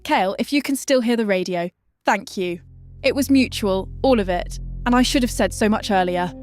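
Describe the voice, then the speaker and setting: A woman playing a measured, precise scientist on an apocalypse broadcast in a scripted radio drama. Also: mid-range voice, a little mellow, faint music behind, lightly acted. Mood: regretful gratitude.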